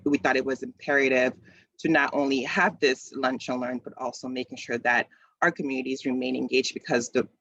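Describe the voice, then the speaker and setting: A man's voice: medium pitch, vocal fry, a bit bright, no echo. A man in his thirties from the Congo, hosting a community video call in a casual, conversational way with many ums and restarts. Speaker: community event host. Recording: slightly dull, dry call audio.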